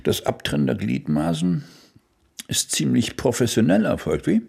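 A police investigator in a radio play, with a lively, swooping delivery. He interrogates with sardonic, pointed insinuation, and his voice has a gravelly fry. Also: medium pitch, moderate pace, full character voice. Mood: sardonic, probing. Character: probing, sardonic male police investigator questioning suspects. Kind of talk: German radio drama dialogue.

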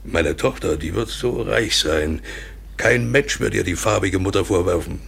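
An actor playing a deluded, impoverished colonial trader in a radio play, rambling insistently and feverishly in a hoarse, breathy voice. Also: male voice, low voice, unhurried, a bit bright, a little hiss or hum, exaggerated voice. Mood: dreamy, bitter. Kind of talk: German radio play acting.

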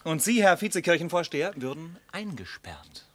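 An actor playing a suave young gentleman suitor in a radio comedy, courtly, flattering and elaborately polite. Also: a little high, normal speed. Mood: grave, persuasive. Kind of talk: theatrical radio comedy acting.

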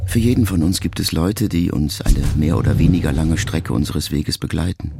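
A very low, gravelly middle-aged man speaks as a grown son to his dying mother. He is introspective and measured, in the intimate manner of a literary radio monologue. Music plays clearly beneath his words. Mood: probing, reflective. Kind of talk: literary first-person monologue in a radio play. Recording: music under the voice.

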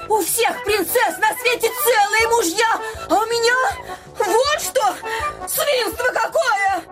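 Very high-voiced spoiled princess. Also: female voice, slow. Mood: petulant rage. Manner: petulant, capricious. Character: spoiled, imperious young princess bride. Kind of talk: theatrical fairy-tale audio play. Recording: loud music behind the voice.

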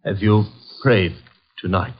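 An actor playing a nervous, evasive suspect who speaks haltingly in a 1940s radio drama. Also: male voice, low voice, steady tone. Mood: uneasy. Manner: hesitant, halting. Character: nervous, evasive cornered male murder suspect. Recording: dull old 1940s radio recording.

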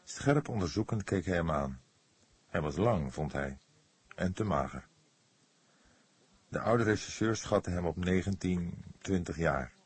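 A man with a husky voice reading a detective novel aloud as scripted narration. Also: low voice, lively intonation, a little slow, pauses often. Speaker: audiobook narrator.

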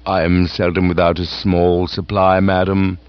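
A man reading aloud voices a calm, deferential male valet who speaks in a measured, formal way. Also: low voice, clear, resonant tone, no room sound, pitched below the performer's own voice, close to an everyday voice. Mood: composed. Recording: dull, dry recording.

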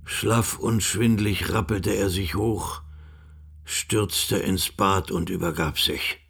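A man reading scripted literary narration for a radio drama in a measured, grave way, with music underneath. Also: sits low, fairly even intonation, gravelly, fairly bright tone, slightly rough.

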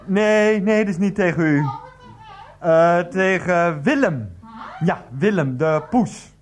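A man's voice: high-pitched, expressive, slow. An actor playing a flustered, placating, henpecked husband and mother's boy on the telephone in a radio comedy drama. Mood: agitated.

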